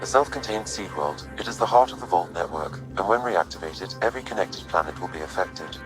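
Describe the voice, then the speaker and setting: Low-pitched, formal AI assistant. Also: male voice, animated, over music, low-quality recording. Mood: calm, informative. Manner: measured, formal, unemotional. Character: calm, precise male artificial intelligence assistant. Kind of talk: video game cutscene acting.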